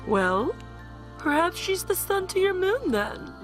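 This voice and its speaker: A woman playing a gentle, wise and playfully fond goddess in an audio drama, speaking reflectively. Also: very high-pitched, big pitch swings, takes it slowly, soft background music, exaggerated voice.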